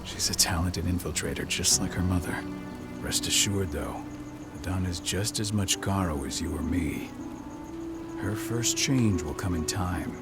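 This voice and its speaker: An actor playing a stern, proud veteran werewolf pack leader in a video game, speaking in a measured, firm manner. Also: male voice, deep voice, loud music behind the voice. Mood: proud, reassuring. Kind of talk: video game cutscene acting.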